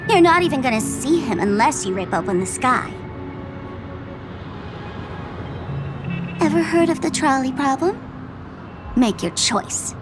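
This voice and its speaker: A woman's voice: high voice, lively intonation, normal speed. An actress playing a teasing, gleeful female trickster in a video game, theatrical and mocking, with playful menace. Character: gleeful, teasing female trickster fool threatening to blow up a planet. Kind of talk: video game character acting. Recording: music behind the voice.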